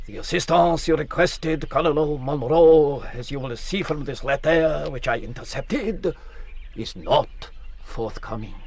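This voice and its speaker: An actor playing a courteous, shrewd French general, formal and persuasive with quiet menace, in a period radio drama. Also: male voice, on the high side, measured pace, creaky, slight background noise. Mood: confident, pressing.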